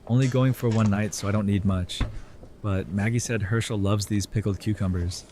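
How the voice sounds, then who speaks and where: A man's voice: lowish voice, steady tone, moderate pace, dark tone, slight background noise, natural voice. A man playing an easygoing, curious survivor in an audio drama, with a casual, wry way of talking.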